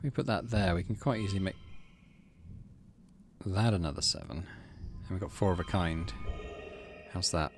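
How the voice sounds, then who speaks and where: A man's voice: sits low, normal speed, long pauses, a little hiss or hum. A man hosting a game playthrough, switching between casual commentary and reading story text aloud. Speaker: gaming channel host.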